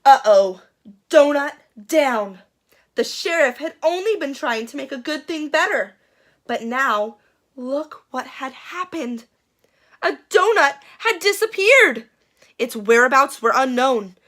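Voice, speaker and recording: Lady doing kids story time, slow and super expressive, playful suspense, bright voice. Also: high-pitched. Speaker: children's storyteller. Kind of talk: expressive picture-book read-aloud.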